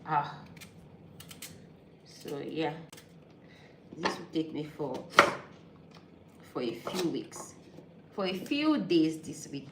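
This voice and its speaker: A woman from Nigeria talks in a low, unhurried voice while she cooks at home. She hesitates, repeats words and corrects herself. The kitchen is echoey and noisy, with chopping behind her. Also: very animated. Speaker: vlogger. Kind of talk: casual cooking vlog chat. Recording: echoey kitchen with background noise.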